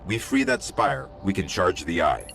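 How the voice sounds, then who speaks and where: An actor playing an earnest, wry robot guide, giving matter-of-fact mission briefings in a video game. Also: male voice, deep voice, sing-song, moderate pace, creaky, fairly bright tone, full character voice. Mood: determined. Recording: music behind.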